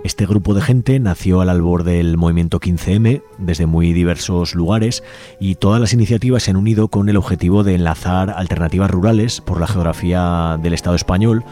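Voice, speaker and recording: Male community radio host with a Spain accent; low and mellow, talks unhurriedly, backs up to rephrase, soft music bed behind him. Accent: Peninsular Spanish. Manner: unhurried, halting, repeats and reworks phrases. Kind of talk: unscripted radio talk segment. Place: radio studio.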